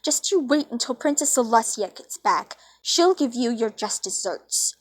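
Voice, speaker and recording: Woman as a high-voiced, defiant pony princess. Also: expressive, normal speed. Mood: indignant. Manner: indignant, commanding. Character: stern, defiant young female pony princess. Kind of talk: amateur read-aloud of cartoon fan fiction.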